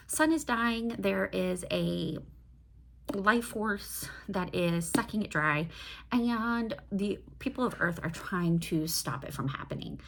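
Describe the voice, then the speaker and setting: A woman's voice: mid-range voice, creaky, slight background noise. A woman chatting casually about books in an enthusiastic, digressive way, like a host reviewing her reading. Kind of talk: casual book review chat.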